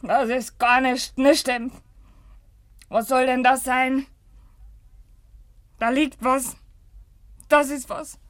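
A woman's voice: high voice, flat delivery, slow, long pauses, no echo, clean studio sound. An actress playing a desperate, broken young country woman in a German radio tragedy, with an anguished delivery and a Silesian accent. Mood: agitated, bewildered. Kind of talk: German radio play acting, dialect tragedy.

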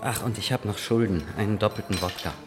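A man's voice: lowish voice, fast talker, lightly acted. An actor in a German radio drama playing a listless, reticent man who speaks tersely and hesitantly. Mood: hesitant, tipsy. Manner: terse, hesitant, mumbled hums. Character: Tolja, listless, weary man, reticent and indebted. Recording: music under the voice.

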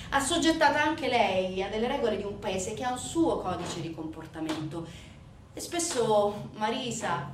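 Animated woman narrating a theatrical monologue, roomy sound. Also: medium pitch. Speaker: stage actress narrator. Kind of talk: dramatic theatrical monologue storytelling. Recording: roomy, distant mic, faint background noise.

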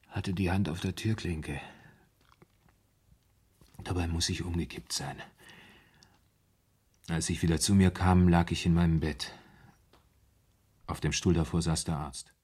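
A low-voiced, husky man, tormented and anxious. He tells his story in halting, broken phrases, as a haunted confession in a seventies German radio play. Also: a little mellow. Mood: haunted, hushed. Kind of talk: 1970s German radio drama acting.

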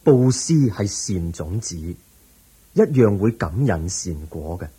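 A man acting a calm, patient, wise teacher in a radio drama, measured and gently instructive, with a Hong Kong Cantonese accent. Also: low-pitched, breathy edge, heavily acted.

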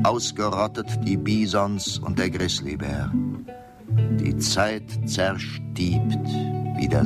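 Sad poetic radio play narrator, really slow deep voice, guitar loud behind him. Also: male voice. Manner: solemn, poetic, lamenting. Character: elegiac narrator mourning the vanished Old West. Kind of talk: scripted radio drama narration.

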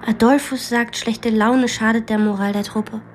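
Woman as high-voiced, imaginative young girl storyteller. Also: fairly even intonation, normal speed, slight background noise, lightly acted. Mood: hushed, macabre. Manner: storytelling, earnest. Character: imaginative, bossy young girl telling a macabre hospital game. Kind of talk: radio drama acting.

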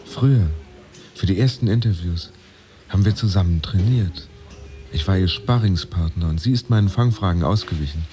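A man acting an anxious, proud pretend office worker, narrating his thoughts tensely and deliberately in a radio play. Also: deep voice, mellow, soft background music, natural voice. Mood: wistful. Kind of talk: interior monologue in a radio play.

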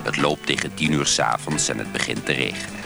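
A man's voice: extremely low pitch, fast talker, gravelly, over music. A man narrating a scripted Dutch radio play, setting the scene in a dramatic way.

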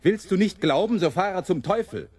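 Radio-play rebel preacher: impassioned champion of the poor, higher-pitched, very animated, oratorical. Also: male voice, moderate pace. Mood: impassioned, bitter. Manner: oratorical, mocking the powerful. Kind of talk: German radio play monologue.

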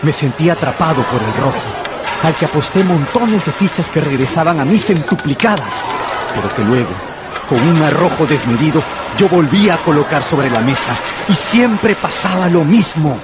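A man's voice: a little high, lively intonation, normal speed, a loud crowd, heavy background noise, lightly acted. An actor playing an impassioned, lovestruck young tutor who tells his story in a radio drama. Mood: exhilarated narration. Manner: fervent, theatrical. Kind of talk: dramatic first-person narration and radio drama acting in Spanish.

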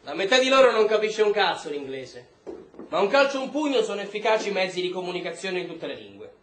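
An actor playing a brutal, foul-mouthed military officer, barking contemptuous orders in a stage drama. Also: male voice, high voice, very animated, fast. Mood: menacing, scornful. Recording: roomy, echoing stage space.

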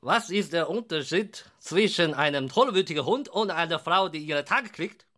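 Crafty gruff boss, swooping pitch. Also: male voice, lively intonation, moderate pace, a bit bright. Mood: joking, teasing. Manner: curt orders, crude jokes. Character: crafty Chinese restaurant boss, gruff joker, shady money dealer. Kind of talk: radio drama acting.

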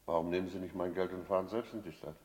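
An actor playing a talkative, wry middle-aged village innkeeper who deals with smugglers, in a theatrical German radio play style. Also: male voice, sits low, fairly even intonation, fast talker, a little mellow, close to an everyday voice. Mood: bargaining. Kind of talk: German radio play acting and first-person narration.

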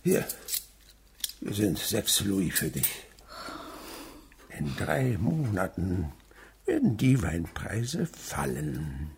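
A man's voice: low voice, wildly swooping pitch, very slow, pauses often, darkish tone, husky, exaggerated voice. An actor playing a sly, gleeful old winegrower and domineering father in a radio drama. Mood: gleeful, gloating.

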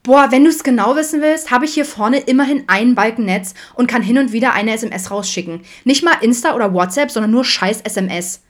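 A woman's voice: lowish voice, fast, few pauses, natural voice. A woman voicing a sulky, defiant teenage girl in an audiobook, snappy, slangy and reproachful. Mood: irritated.

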